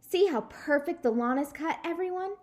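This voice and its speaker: A woman's voice: high-pitched, lively intonation, normal speed, a little mellow, pitched above the performer's own voice. A woman voicing a showy, self-important, bossy rich little girl in a children's storybook. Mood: boastful.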